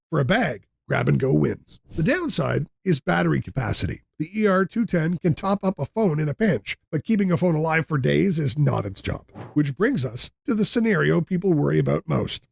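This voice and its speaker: A man's voice: on the high side, vocal fry, a little mellow, breathy edge, dry recording, studio quality. A man presenting a scripted product review, speaking in short, direct, instructive sentences.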